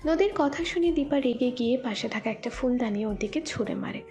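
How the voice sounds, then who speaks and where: A woman narrates a scripted drama story. Her voice sits fairly high, and soft music plays behind her narration. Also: expressive, a little fast.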